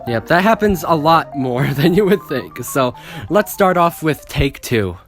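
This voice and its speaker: A woman's voice: deep voice, big pitch swings, measured pace, music in the background. A woman casually reading out a script and commenting on it as host.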